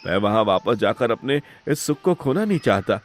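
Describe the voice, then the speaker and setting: A man's voice: fairly low pitch, big pitch swings, normal speed, soft background music. Man voicing a hurt, principled younger brother. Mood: calm, firm. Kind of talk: melodramatic Hindi moral-story cartoon acting.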